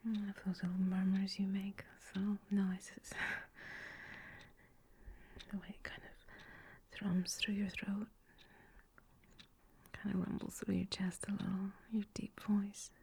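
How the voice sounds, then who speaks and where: A woman whispering slowly and tenderly in an intimate, breathy girlfriend roleplay ASMR style, as if murmuring to a lover. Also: medium pitch, monotone, darkish tone, slight background noise.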